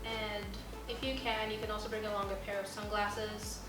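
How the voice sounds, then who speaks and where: A young woman from Ghana, an international student, casually explaining what clothes to pack with relaxed, chatty fillers. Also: mid-range voice, normal speed. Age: young adult. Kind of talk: casual informational video. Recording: roomy, mic at a distance, music underneath.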